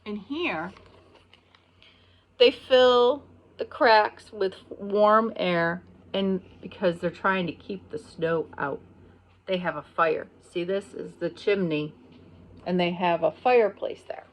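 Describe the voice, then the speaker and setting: A middle-aged woman with an American accent, a pre-kindergarten teacher reading a picture book aloud and explaining it simply, pausing on key words. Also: medium pitch.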